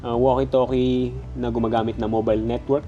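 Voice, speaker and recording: A man with a Filipino accent talks through a product in a casual, conversational way. His voice is soft-edged and mellow at an average male pitch, and he sounds stuffed up in the nose. Faint music plays behind him. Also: level tone, brisk, dull audio. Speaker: product reviewer. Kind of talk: casual, conversational gadget review.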